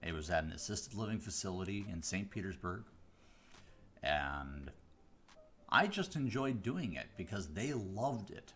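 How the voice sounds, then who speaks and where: A man from the Chicago area, a mentalist hosting a live stream, chatting casually with viewers on a close studio mic, rambling with fillers and restarts but slowing down with deliberate pauses. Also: deep voice, big pitch swings, fairly bright tone, a little hiss or hum. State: voice deeper than usual today. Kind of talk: casual chat answering viewer questions. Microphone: close studio microphone.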